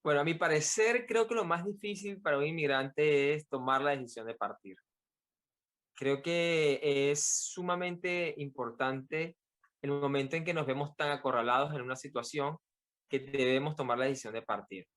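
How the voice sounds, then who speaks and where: A man's voice: on the high side, normal speed, fairly bright tone. Venezuelan man telling his story reflectively. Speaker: podcast guest, author. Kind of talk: personal interview account.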